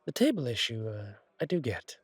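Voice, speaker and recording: A man playing a friendly, courteous angel bartender in an intimate roleplay audio, speaking gently with thoughtful pauses. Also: medium pitch, big pitch swings, slightly rough, dry recording. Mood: warm, reassuring. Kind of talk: intimate roleplay audio acting, one side of a conversation.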